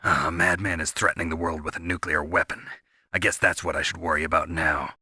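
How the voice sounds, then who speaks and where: An actor playing a gruff, sardonic special-ops soldier in a video game, terse and world-weary with a gravelly, husky voice. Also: male voice, deep voice, flat delivery, a little fast, pushed and bright, a little hiss or hum. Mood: exasperated.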